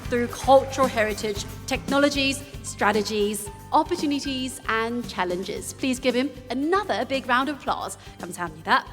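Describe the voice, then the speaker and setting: A woman's voice: a little high, fairly quick, few pauses. A woman emcee giving formal introductions at a conference. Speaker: bilingual event emcee. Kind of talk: formal announcement. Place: large hotel conference hall. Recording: long hall reverb, soft music.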